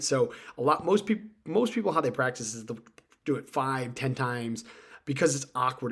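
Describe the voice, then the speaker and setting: Guy doing a career tips video, informal, really stresses and repeats his main points, mid-range voice. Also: medium pitch. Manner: emphatic, repeats key points. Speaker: career advice video host. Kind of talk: informal instructional talk to camera.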